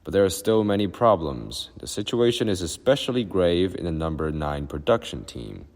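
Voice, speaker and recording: A man's voice: deep voice, big pitch swings, normal speed, in a large hall, voice pushed up. A man voicing a pompous, officious male brigade leader who bellows his announcements.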